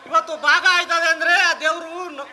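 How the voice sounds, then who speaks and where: A man playing a high-voiced concerned village friend. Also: little pitch movement, a little fast, a crowd in the background.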